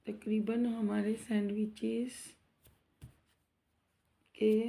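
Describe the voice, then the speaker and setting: Woman cooking-vlog host, sore throat, slow measured delivery, fairly high but flat voice, chatty with viewers. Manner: chatty, addresses viewers as guys, asks for subscribes. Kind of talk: casual cooking vlog narration. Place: home kitchen.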